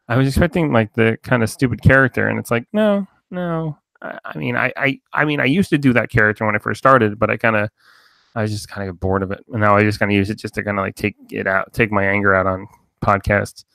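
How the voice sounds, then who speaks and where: A man's voice: fairly low pitch, fast talker, creaky, fairly bright tone, no echo. A man from New Jersey hosting a podcast, rambling in loose casual chat with lots of fillers and swearing. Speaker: podcast host.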